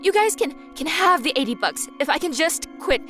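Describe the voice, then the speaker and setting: A woman acts a terrified young woman in a horror audio drama. Her voice is extremely high and panicky, with faint music behind it. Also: sing-song, brisk, fairly bright tone. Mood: desperate. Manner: panicky, agitated.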